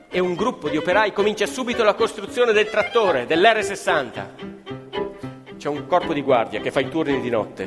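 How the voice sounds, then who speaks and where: A man with a high, very expressive voice tells a scripted story theatrically. He is dramatic and emphatic, recorded in a reverberant hall with live violin music clearly behind him. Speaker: actor-narrator.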